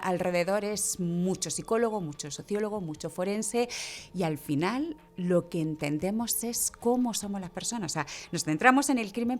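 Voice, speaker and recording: A woman speaks in a slightly low voice. She is a podcast guest, explaining things reflectively in relaxed conversational language. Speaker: podcast guest, true crime creator.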